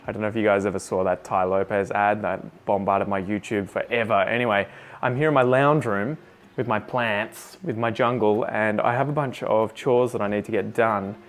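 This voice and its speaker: A man's voice: lowish voice, fairly even intonation, normal speed. A man in his thirties with an Australian accent, chatting casually and thinking aloud as he does plant chores in his lounge room. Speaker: plant vlogger. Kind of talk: casual chat while doing chores. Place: lounge room full of houseplants.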